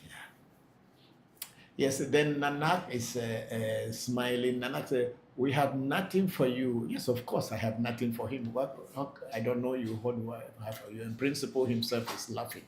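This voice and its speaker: Man, spiritual leader hosting a live Q&A, gravelly mid-pitched voice, blunt and repetitive, echoey room. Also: normal speed, a little mellow. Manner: plain-spoken, repeats himself for emphasis. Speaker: Vodu priest hosting a live Q&A. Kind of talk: live Q&A, reading out and answering questions. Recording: echoey room, faint background noise.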